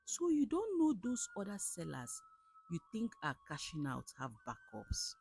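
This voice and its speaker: A woman's voice: medium pitch, wildly swooping pitch, measured pace, creaky, a little mellow, soft background music, pitched above the performer's own voice, full character voice. A woman with a Nigerian accent voicing a sly, worldly male friend in a folktale, insistent and knowing as he persuades. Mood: conspiratorial. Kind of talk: storybook folktale character.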